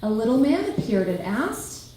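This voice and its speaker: A woman's voice: fairly high pitch, sing-song, normal speed, mellow. Echoey woman narrating a children's story. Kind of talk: expressive children's storytelling read aloud. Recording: very echoey room, faint hiss.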